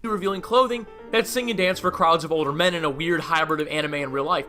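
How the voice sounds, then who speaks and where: A man's voice: fairly high pitch, fast talker, bright, forceful tone. A man narrating a scripted video essay, with casual asides, over music. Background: faint music.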